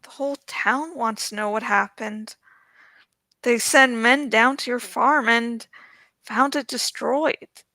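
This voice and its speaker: A woman voicing a brisk, kindly, scolding village healer in a storybook reading, with a firm, motherly manner. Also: fairly high pitch, bright, forceful tone, no echo, heavily acted. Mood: matter-of-fact.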